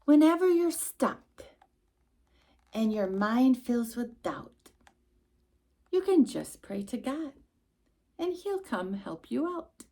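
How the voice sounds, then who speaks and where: A woman reading a rhyming picture book aloud to a young child as a storytime host, expressive and emphatic, with little asides to the listener. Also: high-pitched, slow pace, pauses often.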